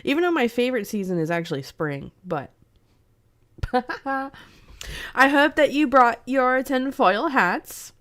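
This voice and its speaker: A young woman streamer chats casually with her viewers in an unhurried, drawn-out way. Her voice sounds congested and sniffly from a lingering cold. She greets people by name and laughs easily between thoughts. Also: high-pitched, very animated, a bit bright. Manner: slow, chatty, greets chat by name, laughs often. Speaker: streamer host. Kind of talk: casual livestream chat.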